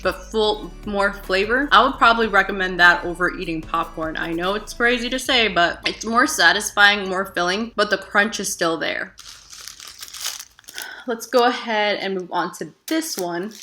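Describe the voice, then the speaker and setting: Bright-voiced woman taste-testing snacks. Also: medium pitch. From United States. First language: English. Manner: chatty, animated reactions while tasting. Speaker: food vlogger. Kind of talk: casual taste-test vlog. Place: living room at home. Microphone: camera at desk distance.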